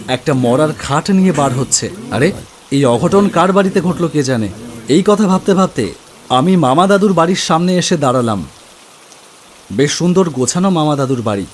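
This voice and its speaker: A man narrating a scripted ghost story in the first person, with an expressive, suspenseful delivery. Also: a little high, normal speed. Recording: music bed under the voice. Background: background music.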